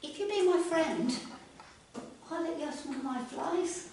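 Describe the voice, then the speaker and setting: A woman's voice: extremely high pitch, lively intonation, unhurried, a little mellow, slight background noise, pitched above the performer's own voice. A woman voicing a lonely, eager young male frog who pleads and stammers. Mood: pleading. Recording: very echoey room, distant mic.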